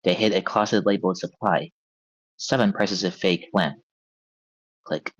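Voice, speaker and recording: Low-voiced man narrating, slightly dull sound. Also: measured pace, no room sound. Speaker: stage-direction narrator. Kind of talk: scripted narration at a table read.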